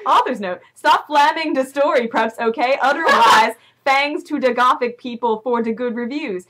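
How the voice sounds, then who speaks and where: A woman's voice: sits high, moderate pace, noisy background. A young woman giving a theatrical, giggly dramatic reading of amateur fiction in a mock-serious comic style. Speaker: amateur reader in a comedy video.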